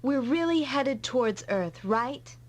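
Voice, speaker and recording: A woman playing a gentle, wistful young companion, tentative and seeking reassurance, in an anime-style game dub. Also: high voice, animated, slow pace, full character voice.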